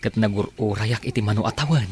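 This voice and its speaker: A man's voice: lowish voice, quick pace, darkish tone, a little hiss or hum, natural voice. A man with a Filipino accent playing an earnest, polite suitor in an Ilocano audio drama, speaking courteously. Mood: overjoyed.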